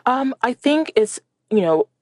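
A young woman with a French accent, speaking as a guest journalist in a radio interview, talking in halting bursts with many fillers and restarts. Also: on the high side, big pitch swings, darkish tone, slightly rough, no room sound, clean studio sound. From Nigeria.

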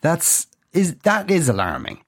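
A man's voice: a little high, wildly swooping pitch, unhurried, breathy edge. A man hosting a radio interview, conversational and asking probing follow-up questions. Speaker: radio host.

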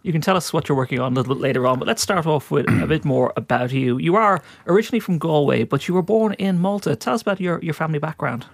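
A man hosting a radio interview, asking brisk leading questions. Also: a little high, lively intonation, gravelly, dry recording. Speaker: radio host.

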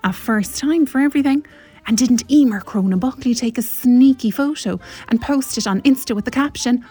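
A self-pitying suburban Cork wife in her forties. Her voice is high and a little husky, and she tells her woes in a dramatic, gossipy comic monologue over faint music. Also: moderate pace, mellow. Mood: aggrieved, self-pitying. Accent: Irish, Cork. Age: 40s.

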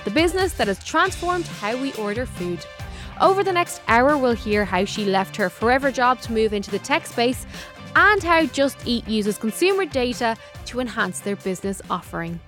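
A woman's voice: on the high side, big pitch swings, normal speed, a bit bright, soft background music. A woman with an Irish accent hosting a radio interview, moving between scripted introduction and questions to her guest.

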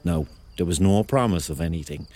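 A man's voice: sits low, fairly quick, dark tone, faint music behind. An actor playing a hapless, self-mocking thirty-year-old man with a Cork accent, telling his story as a rambling, wry confession in a radio drama. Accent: Cork Irish.

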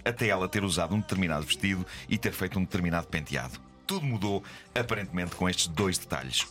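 A man with a deep voice and a European Portuguese accent hosts a comedic radio segment. His delivery is wry and mock-serious, moving between scripted lines and banter. Music plays behind him. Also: fairly bright tone. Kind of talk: humorous semi-scripted commentary over music.

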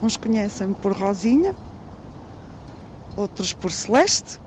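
A woman leaving a deliberate personal message as a radio listener. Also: medium pitch, sing-song, a little mellow. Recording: voice message, faint vehicle noise.

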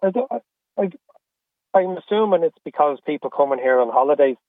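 A middle-aged man with an Irish accent speaking candidly and earnestly about personal matters as an interview guest, in a halting way with repeated phrases. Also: on the high side, animated, dry recording. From Australia and Ireland. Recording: phone-like, narrow-band radio call.

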